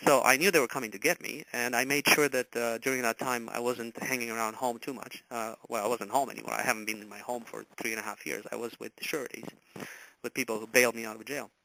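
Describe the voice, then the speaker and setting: A man in his forties, from Romania, recounting a personal story as an interview guest, halting and full of filler words. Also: mid-range voice, steady tone, creaky, sharp tone, low-quality recording. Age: 40s.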